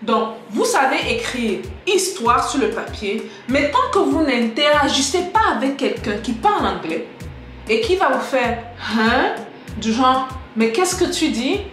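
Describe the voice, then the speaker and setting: Woman, teacher, lively and direct, fairly high voice, echoey room, faint music behind. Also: a little slow. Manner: lively, direct, addresses viewers as "les gars"; switches into English example sentences. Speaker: English teacher on a video channel. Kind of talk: casual spoken lesson to camera.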